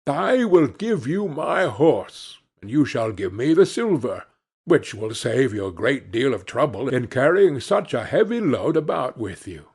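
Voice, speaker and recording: Friendly trading horseman for a fairy tale , read by a man: coaxing, animated, measured pace. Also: mid-range voice, vocal fry, husky, full character voice. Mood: persuasive. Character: affable horseman, a smooth-talking, persuasive trader. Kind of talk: fairy tale storybook reading.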